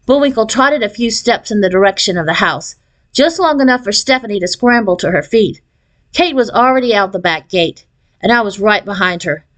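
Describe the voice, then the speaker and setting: A woman reading a children's novel aloud in an expressive storytelling style. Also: mid-range voice, a little fast, poor audio. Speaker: audiobook reader.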